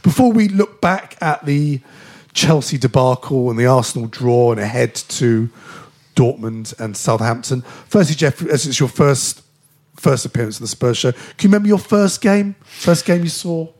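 A man hosting a football podcast, his voice a bit croaky today, friendly and full of fillers. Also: medium pitch, lively intonation, normal speed, darkish tone. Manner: friendly, hesitant with many fillers. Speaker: podcast host. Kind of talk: casual football podcast chat.

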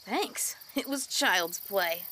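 A woman's voice: medium pitch, lively intonation, takes it slowly, bright, forceful tone, slight background noise. A woman voicing a small, earnest, easily offended plant creature in a fantasy comedy audio drama. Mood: startled. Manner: defensive, earnest.

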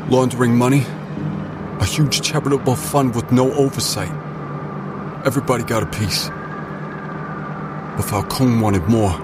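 Blunt corrupt insider played by a man, loud music. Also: medium pitch, natural voice. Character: cynical male insider confessing citywide corruption. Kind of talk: dramatic audio drama acting.

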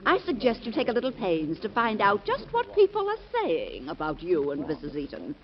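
A haughty society wife. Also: female voice, medium pitch, huge pitch swings, moderate pace. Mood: scornful. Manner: cutting, sarcastic. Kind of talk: 1940s radio acting. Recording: dull lo-fi old radio recording.

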